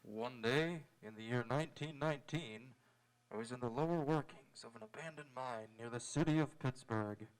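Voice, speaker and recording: A man playing an earnest, polite young space adventurer, speaking sincerely and in measured phrases in old-time radio drama style. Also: mid-range voice, lightly acted, rough recording. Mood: dazed, recounting. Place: live on an auditorium stage.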